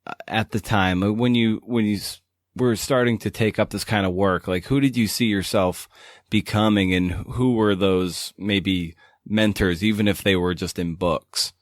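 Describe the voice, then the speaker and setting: Low-voiced man hosting, dry sound. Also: steady tone, moderate pace, no room sound. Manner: rambling, conversational questions. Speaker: podcast host. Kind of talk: casual interview.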